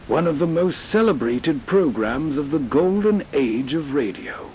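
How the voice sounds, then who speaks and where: A man hosting with atmospheric, scripted narration, soothing and full of storyteller pauses. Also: medium pitch, a little mellow, slight background noise, low-quality recording. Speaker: host introducing old radio stories.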